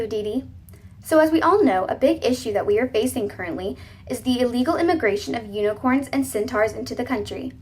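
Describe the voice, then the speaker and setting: Young woman moderator, slightly husky voice. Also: a little high. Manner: formal, polite. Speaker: debate moderator. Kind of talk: scripted mock debate moderating.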